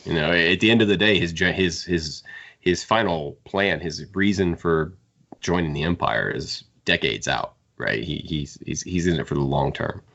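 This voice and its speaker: Very deep-voiced, gravelly man. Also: moderate pace, a bit bright, highs cut off. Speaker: podcast co-host. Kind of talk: casual book discussion.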